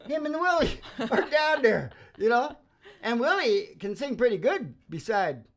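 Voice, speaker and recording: A woman's voice: on the high side, very animated, normal speed. A woman hosting a casual radio show, rambling in a folksy, salty, blunt way. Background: acoustic guitar.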